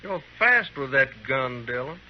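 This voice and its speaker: An actor playing a mocking, cocky, menacing gunslinger in a 1950s radio western. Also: male voice, mid-range voice, big pitch swings, a little slow. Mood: taunting. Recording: muffled, faintly noisy old radio recording.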